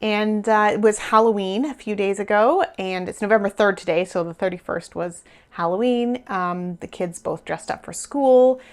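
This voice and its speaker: A woman's voice: medium pitch, moderate pace, hardly any pauses, clean tone. A woman in her thirties or forties hosting a knitting podcast, chatting casually and rambling with small laughs, her voice a little worn. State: losing her voice a bit. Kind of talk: casual chat to camera.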